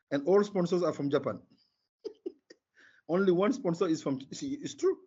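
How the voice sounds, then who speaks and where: Husky older Senegalese man, emphatic. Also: fairly high pitch, unhurried, dark tone. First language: Wolof. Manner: emphatic, opinionated, repeats words for stress. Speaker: interview guest, former sports marketing executive. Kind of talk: spoken interview answers. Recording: dull, lo-fi call audio.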